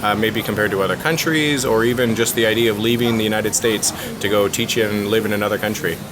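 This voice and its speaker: A man doing a casual interview as the interviewer, encouraging and quick to affirm. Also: on the low side, fairly even intonation, crowd noise.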